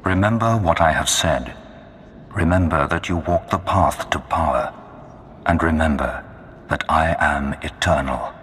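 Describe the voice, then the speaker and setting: An actor voicing a menacing Sith lord in a video game, speaking in a grandiose, commanding way. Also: male voice, a bass voice, measured pace, noisy background. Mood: imperious. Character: menacing male Sith lord of a dark empire. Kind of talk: video game villain acting. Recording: long cavernous reverb.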